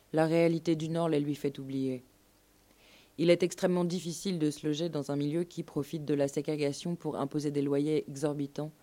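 A woman's voice: low-pitched, mellow. A woman narrating a scripted documentary story as part of a live radio show. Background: music.

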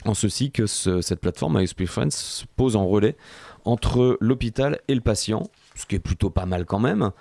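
A man's voice: lowish voice, animated, normal speed, vocal fry, mellow, no echo. A man chatting as a panelist on a radio show, with wry joking asides. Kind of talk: casual radio panel chat. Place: radio studio.

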